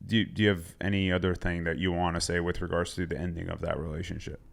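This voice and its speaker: Male podcast host, deep and gravelly, brief prompting questions. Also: level tone, fast. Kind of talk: interview questions.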